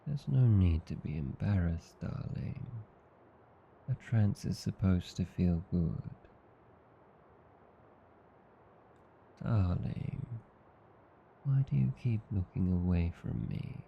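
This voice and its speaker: A man with an Australian accent playing a tender, teasing vampire husband in an ASMR roleplay, soothing, coaxing and calmly hypnotic. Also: low voice, takes it slowly, vocal fry, highs cut off, a little hiss or hum, exaggerated voice. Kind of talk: ASMR roleplay, slow intimate scripted acting.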